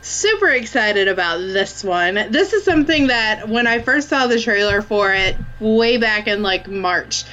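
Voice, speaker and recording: A woman's voice: medium pitch, lively intonation, a little slow, few pauses, sharp tone, lo-fi sound, a little hiss or hum. A woman co-hosting a movie podcast, stuffed up from a sinus infection, chatting casually with lots of fillers and laughs. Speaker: podcast co-host. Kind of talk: casual chat.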